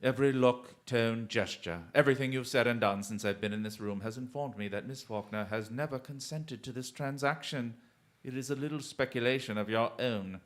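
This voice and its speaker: An actor playing a shrewd, commanding detective in a radio drama, speaking formally and deliberately with dry, theatrical mockery. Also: male voice, medium pitch, close to an everyday voice. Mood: cool, taunting. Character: shrewd, commanding male detective, coolly taunting and unflappable.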